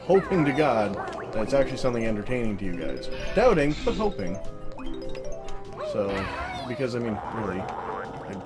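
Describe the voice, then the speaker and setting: A woman talking through solo gameplay commentary in a casual, monotone, self-deprecating way, swearing now and then. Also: very deep voice, normal speed, pauses often. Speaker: let's play commentator. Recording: game music loud behind voice. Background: video game music.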